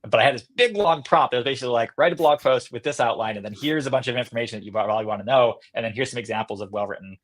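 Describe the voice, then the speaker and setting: A man's voice: medium pitch, very fast, hardly any pauses. A man speaking casually as a company executive guest in an interview, feeling his way through his answers as he talks.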